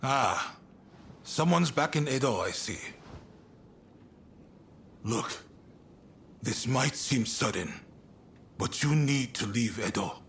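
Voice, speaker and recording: An actor playing a resolute, burdened samurai commander in a video game cutscene, speaking in a measured, plain-spoken way with weary resolve. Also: male voice, medium pitch, animated, gravelly, a bit bright, a little hiss or hum, full character voice. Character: frank, resolute samurai military commander, burdened but calm. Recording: music behind the voice.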